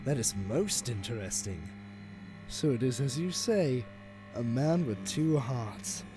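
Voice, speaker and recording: A man's voice: medium pitch, animated. Calculating villain boss played by a man, music behind. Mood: intrigued. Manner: measured, deliberate, authoritative. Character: calculating, commanding male gang boss, the villain. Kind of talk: audio drama acting. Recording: music under the voice. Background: dramatic music.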